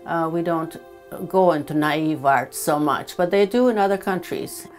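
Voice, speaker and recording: A woman, a retired teacher and weaver, speaking thoughtfully with many pauses and fillers in a seated interview at home. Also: low-pitched, a little mellow, faint music behind. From Croatia. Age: 70s. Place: home living room, indoors.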